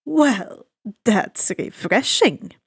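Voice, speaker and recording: A woman reading a story aloud and voicing a suave, sinister male ferryman of the dead with a smooth, slow, drawn-out delivery. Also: fairly high pitch, expressive, no echo, clean studio sound, exaggerated voice. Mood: dryly polite. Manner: slow, drawn-out, dryly polite.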